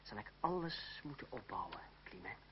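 An actor playing a proud, wry young suitor in a Dutch radio play, speaking in a measured, ironic way. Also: male voice, fairly low pitch, big pitch swings. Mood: resigned, frank. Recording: dull, hissy old radio recording.

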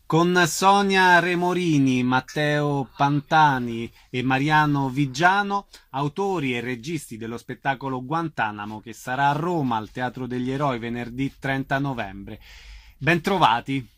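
A man's voice: mid-range voice, measured pace, fairly bright tone, soft background music. A man hosting a radio show, interviewing guests in a casual style.

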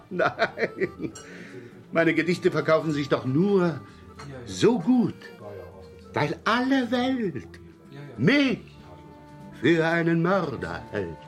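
An actor in a 1980s German radio play, playing a jovial, unscrupulous celebrity poet with a nonchalant, chuckling, self-satisfied manner. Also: male voice, a little high, wildly swooping pitch, extremely slow, pauses often, over music, heavily acted. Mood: smug amusement.

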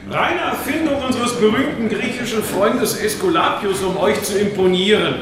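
Actor as scornful cleric, echoing high-pitched voice. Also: male voice, crowd noise, lightly acted. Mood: disdainful. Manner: stiff, condescending, deliberate. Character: scornful, pedantic, misogynist medieval cleric. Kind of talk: German radio drama acting. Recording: very echoey stone-room sound.

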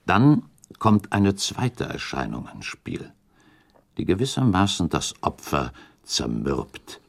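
Casting: shrewd count for radio drama, bottomless pitch, widely swinging melody, deliberate diplomatic phrasing. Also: male voice, big pitch swings, gravelly, heavily acted. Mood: analytical, warning. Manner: deliberate, hypothetical reasoning, formal and pointed. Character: shrewd, calculating count advising a prince, diplomatic. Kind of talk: German radio drama acting.

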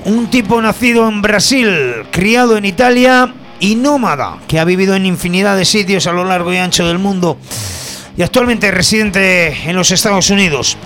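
A man's voice: high voice, normal speed, fairly bright tone. A man with a Spanish accent from Spain hosting a music show, chatty, colloquial and jokey, bantering casually as he presents. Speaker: music radio show host. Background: guitar music.

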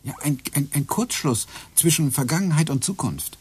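An actor playing Graham, a man in a German radio play who muses haltingly about time and particles. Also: on the high side, animated, darkish tone, slightly rough. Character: brooding man lost in theories of time.

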